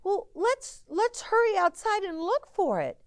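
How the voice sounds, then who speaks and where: A woman acts out an excitable storybook child. The voice jumps up very high and calls out loudly, eager and urgent. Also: unhurried, no echo, clean studio sound, heavily acted.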